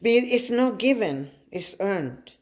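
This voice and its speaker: A woman from Chile, co-hosting a casual podcast as a life coach, reflective with soft laughs. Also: fairly high pitch, expressive, slow pace.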